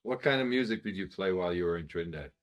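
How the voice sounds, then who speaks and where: A man interviewing a musician, asking calm, direct questions. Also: low-pitched, rapid-fire.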